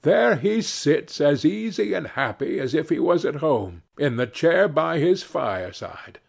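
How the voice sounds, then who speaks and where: A man's voice: on the high side, very animated, unhurried, gravelly, heavily acted. A man voicing a cheerful, naive young servant lad in a fairy tale, earnest and exclaiming. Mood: admiring, wistful. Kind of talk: fairy tale storybook reading.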